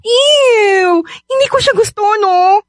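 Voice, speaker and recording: A woman's voice: very high-pitched, slow pace, no room sound, studio quality. A woman playing a sassy, picky single best friend in a radio drama, slangy and dramatic, with a Filipino accent. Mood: sassy, disgusted.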